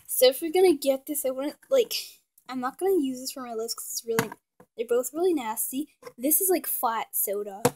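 A woman's voice: sits high, expressive, normal speed, background noise. A woman casually showing her doll house at home, chatty with lots of fillers, sometimes sinking to a whisper, recorded on a handheld phone. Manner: chatty, many "like" fillers, drops to soft whispers at times. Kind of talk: casual show-and-tell narration. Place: indoors at home, near the dollhouse.

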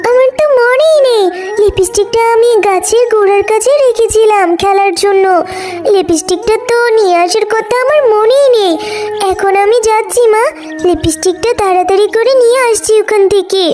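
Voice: very high voice, moderate pace. A performer voicing a young, eager, pleading daughter in a children's cartoon story. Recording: music behind the voice.

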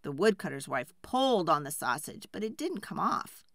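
A woman narrating a children's folk tale with very animated, wide-swinging intonation. Her bright voice is deliberate and unhurried, closely recorded with a dry sound. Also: lowish voice, no room sound. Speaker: children's storyteller. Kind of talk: expressive read-aloud storybook narration.

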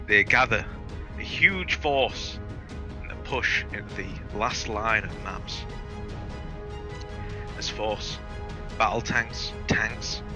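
A man telling informal, sweary war stories over game voice chat in a dramatic, theatrical way. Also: fairly low pitch. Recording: game voice chat, music behind.

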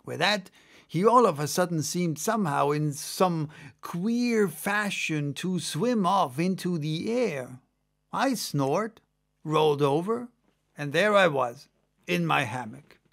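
A man playing an excitable second mate on a sailing ship, spinning a yarn in a dramatic audiobook reading. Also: a little high, slow pace, gravelly, exaggerated voice. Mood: animated yarn-spinning. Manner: conversational, exclamatory storytelling.

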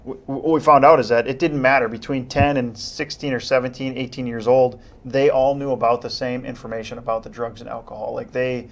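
A young man, a police officer guest on a local TV talk show, talking in an animated, casual way in a studio on a lapel mic. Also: medium pitch, a little fast, few pauses. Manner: animated, gestures, repeats words. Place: TV studio, indoors.

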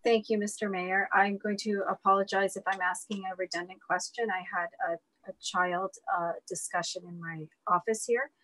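A woman's voice: mid-range voice, fairly even intonation, moderate pace, fairly bright tone, no echo, poor audio. A woman councillor politely asking city staff a question at a council meeting. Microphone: video call.

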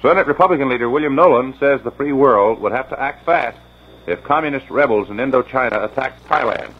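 A man reading news bulletins with measured, formal delivery at a middle pitch. His voice is slightly husky, and the old radio transcription sounds dull and muffled. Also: lively intonation. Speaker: newscaster.

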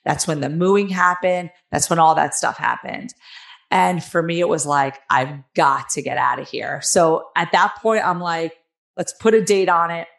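Raspy, nasal New Jersey woman, lowish voice. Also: moderate pace. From New Jersey, United States. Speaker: interview guest, author. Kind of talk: candid personal storytelling in an interview. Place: small room at home, on a video call. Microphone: headset on a video call.

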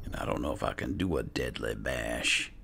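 A man's voice: very deep voice, moderate pace, vocal fry, noisy background. A man narrating his roleplay gameplay, musing aloud in a hesitant mutter that often trails off. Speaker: gaming host roleplaying his character. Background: music.

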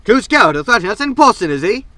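A man's voice: high-pitched, very animated, fast talker, sharp tone, no room sound, full character voice. A man playing a scornful, flustered media schemer in a sci-fi comedy audio drama, sneering and exasperated. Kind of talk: science fiction sitcom audio drama.

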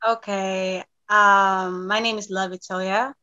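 A woman's voice: mid-range voice, little pitch movement, takes it slowly, pushed and bright, smooth. A bubbly young woman from Greece chats in a casual interview. She talks expressively and laughs easily. Her voice comes through a slightly dull, lo-fi video call. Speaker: guest singer-songwriter. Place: home room, remote video call.

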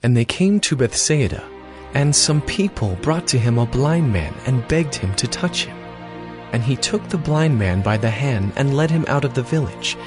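A man's voice: medium pitch, animated, moderate pace, faint music behind. A man narrating a dramatized scripture reading as a scripted narrator.

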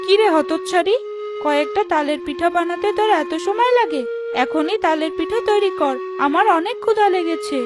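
A woman playing a cruel, scheming stepmother in a children's cartoon, with a sharp, domineering manner. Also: extremely high pitch, normal speed, over loud music. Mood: scolding.

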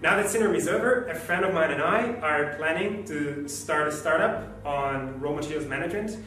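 A young man with an Italian accent gives a testimonial in a fairly high male voice. He sounds far from the mic in a very echoey room, over faint music. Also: normal speed, sharp tone. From Italy. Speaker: programme graduate. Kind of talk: prepared testimonial.

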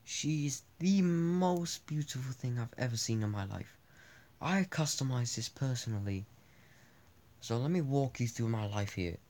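Young male gamer host, Italian first language, rambly and self-deprecating, handheld mic, mid pitch. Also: animated, unhurried, darkish tone. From born in Spain, raised in Italy, France, Britain and Germany. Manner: rambling, joking, self-deprecating, many restarts. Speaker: gaming channel host. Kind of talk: casual thank-you vlog over gameplay. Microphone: handheld mic over screen capture.

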